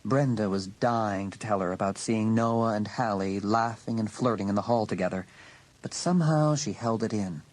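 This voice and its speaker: A man's voice: lowish voice, normal speed, darkish tone, low-quality recording. A man reading scripted narration for a dramatised audiobook.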